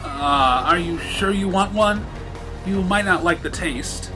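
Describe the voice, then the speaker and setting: A man's voice: high-pitched, slow, music in the background, voice pushed up, rough recording. A man voicing a mild, proud cartoon pony shopkeeper in a fan story, courteous and explanatory. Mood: hesitant explaining. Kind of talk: fan fiction story reading.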